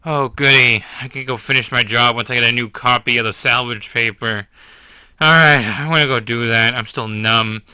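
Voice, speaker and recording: A man voicing a weary male pizzeria owner who talks slowly in a dazed mumble. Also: mid-range voice, steady tone, bright, forceful tone, clear, resonant tone, no room sound, voice pushed down, poor audio. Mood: groggy.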